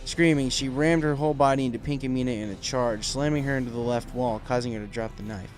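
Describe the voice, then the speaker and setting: A man's voice: medium pitch, fast, soft background music. A man giving scripted narration of a dramatic story as its narrator.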